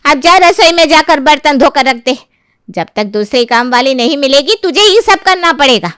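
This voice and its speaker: A woman's voice: high-pitched, expressive, normal speed, a bit bright, no room sound, studio quality. A woman playing an exasperated, bossy older mother-in-law in an audio story, reproachful and questioning. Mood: dismayed, stern.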